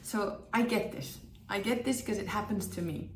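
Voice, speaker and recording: A woman's voice: medium pitch, moderate pace, a little hiss or hum. Upbeat woman in an echoey room. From Finland. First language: Finnish. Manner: upbeat, emphatic repetition. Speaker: presenter and communication trainer. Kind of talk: direct-to-camera tips video.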